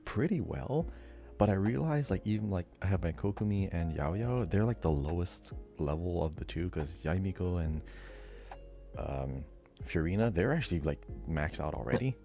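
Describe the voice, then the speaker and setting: A man's voice: low-pitched, expressive, normal speed, warm tone, soft background music. A man co-hosting a podcast in casual chat, explaining things in a rambling, loosely detailed way. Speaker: podcast co-host.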